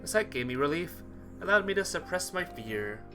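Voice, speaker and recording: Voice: medium pitch, sing-song, moderate pace, over music. A streamer reading a visual novel aloud expressively, with casual asides to viewers. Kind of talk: game playthrough narration with chat asides.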